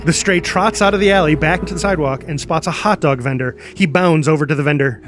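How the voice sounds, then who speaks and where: A man reading stage directions as dramatic, energetic narration for a superhero screenplay, punching out the action. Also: fairly high pitch, fast. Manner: energetic, punchy sound-effect words.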